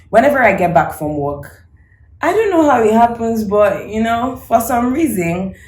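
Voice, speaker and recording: Animated woman in an echoey room. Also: on the low side, slight background noise. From Nigeria. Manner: animated, emphatic storytelling. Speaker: co-host. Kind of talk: casual couple chat.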